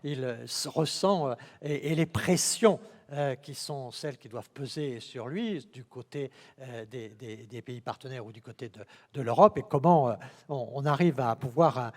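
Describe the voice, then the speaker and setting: Man moderating in an echoing hall. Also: medium pitch. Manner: halting, repeats words, rising emphasis. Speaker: panel moderator. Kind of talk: conference panel moderation. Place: large conference hall. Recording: reverberant conference hall.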